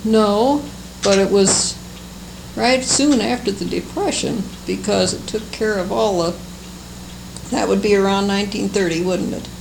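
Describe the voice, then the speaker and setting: An older American woman with a creaky, somewhat low voice shares memories in an unhurried, halting manner. She sounds like a longtime resident being interviewed for oral history. The amateur recording carries clear background noise. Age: older, seventies or above. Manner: unhurried, halting, fond recollection.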